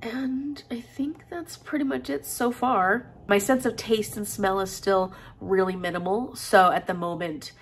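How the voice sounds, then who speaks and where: A woman's voice: medium pitch, fairly even intonation, a little slow, few pauses, a little hiss or hum. A woman in her thirties, congested with a head cold, chatting casually and upbeat to camera in her living room. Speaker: book vlogger. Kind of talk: casual vlog chat.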